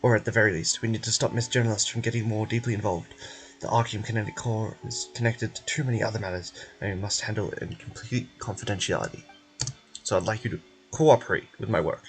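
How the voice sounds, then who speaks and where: A man voicing a polite, officious male research official in a fantasy game, measured and guarded in manner. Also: on the low side, steady tone, natural voice, rough recording. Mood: formal, guarded.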